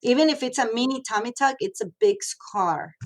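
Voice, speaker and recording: Woman, thirties, from Mexico, interview guest, frank, chatty, fairly high-pitched. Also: lively intonation, moderate pace. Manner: chatty, frank, many fillers and restarts. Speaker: guest, radio host and model. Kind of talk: casual interview talk.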